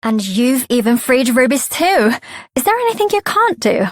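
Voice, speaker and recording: An actress voicing a helpful, candid fairy guide in a video game, chatty and conversational. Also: female voice, high-pitched, sing-song, normal speed, no echo, clean studio sound, exaggerated voice. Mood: breezy, apologetic. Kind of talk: scripted video game voice acting.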